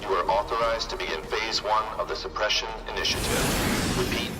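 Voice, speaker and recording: A man's voice: fairly low pitch, fairly quick, close to an everyday voice. A man voicing a curt task force dispatcher barking clipped orders. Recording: distant voice under loud music and gunfire.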